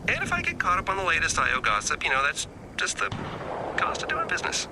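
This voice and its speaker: Animated, wisecracking turncoat spy. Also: male voice, high voice, fast, heavily acted. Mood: wry, playful. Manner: casual, wisecracking, rambling. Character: wry, chatty male turncoat spy and tech tinkerer. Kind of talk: video game mission dialogue voice acting. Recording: clear game noise behind voice.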